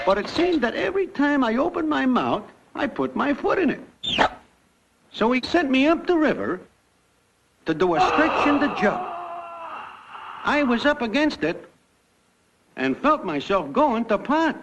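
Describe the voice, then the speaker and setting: High-pitched, brash young cartoon wiseguy. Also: male voice, noisy background, exaggerated voice. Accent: American. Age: young adult. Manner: breezy, wisecracking, packed with idioms. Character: brash slangy young newcomer to the afterlife telling his life. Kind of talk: classic cartoon comic narration. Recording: dull lo-fi cartoon soundtrack, loud music.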